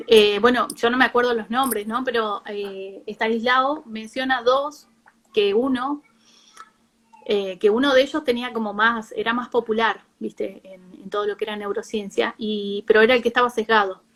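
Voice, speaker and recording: Argentine woman explaining in a higher voice. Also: fairly even intonation, moderate pace. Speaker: psychologist, guest speaker. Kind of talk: informal live talk, explaining with examples.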